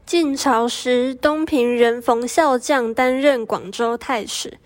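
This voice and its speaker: A woman's voice: on the high side, takes it slowly. A teenage female student narrating a scripted radio drama story.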